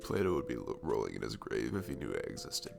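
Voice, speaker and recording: A man's voice: extremely low pitch, sing-song, quick pace, creaky, music in the background, exaggerated voice. A man playing a sweet, cute barista in an intimate ASMR roleplay, chatting in a rambling, self-deprecating and hesitant way. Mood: playful, self-mocking. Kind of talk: intimate ASMR roleplay, soft improvised-sounding chat.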